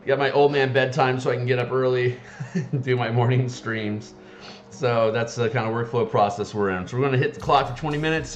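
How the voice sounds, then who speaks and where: A man with a raspy morning voice, chatting casually on a live stream and rambling with many fillers and restarts. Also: medium pitch. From Seattle area. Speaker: streamer and game designer.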